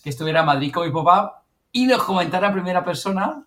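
A man's voice: high-pitched, expressive, fast talker, fairly bright tone. A man from co-hosting a casual live chat. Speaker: live show co-host.

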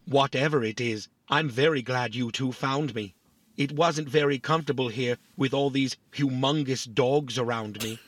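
An actor playing a small, vain, philosophical robot in a family audio drama, boastful and politely fussy. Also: male voice, mid-range voice, moderate pace, lightly acted.

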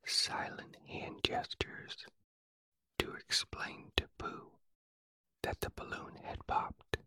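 A storyteller whispering a children's story aloud in a soft, steady ASMR reading. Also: no room sound, rough recording.

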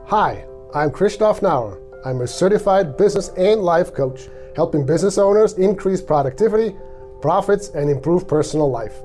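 A man with a Swiss accent reads a radio ad for his coaching business. His voice sits a bit high and his pitch is upbeat and promotional. Music plays clearly underneath him. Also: dark tone. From Switzerland. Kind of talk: scripted radio ad read.

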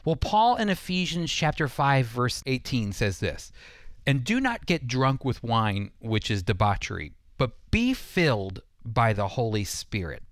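A man's voice: mid-range voice, dry recording, clean studio sound. A man preaching as a Bible teacher, speaking straight to the listener with emphatic repetition and rhetorical questions. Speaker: Bible teacher hosting a radio program. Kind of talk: evangelical sermon, teaching directly to the listener.

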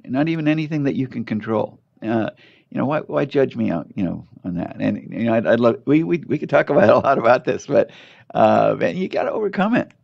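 An older man, a doctor, talking in a casual interview, halting with many fillers and restarts over muffled lo-fi audio. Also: medium pitch, animated, creaky, darkish tone. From United States.

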